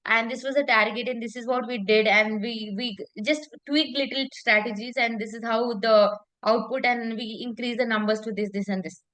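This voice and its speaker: A woman's voice: fairly high pitch, steady tone, normal speed, hardly any pauses, a bit bright, low-quality recording. A woman coaching in conversation, speaking emphatically, repeating phrases and often checking for agreement.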